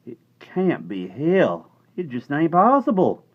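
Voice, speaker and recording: A man's voice: on the high side, wildly swooping pitch, takes it slowly, pitched above the performer's own voice, exaggerated voice. A man voicing a tiny startled cowboy with a folksy drawl. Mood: baffled. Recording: dull lo-fi recording.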